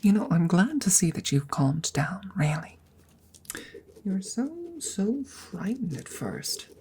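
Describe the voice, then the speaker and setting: A woman voicing an awkward, courteous female dragon in an ASMR roleplay, speaking softly and haltingly, often dropping into a breathy whisper. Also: fairly low pitch, big pitch swings, slight background noise. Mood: gentle, concerned. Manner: hesitant, halting, formal and polite.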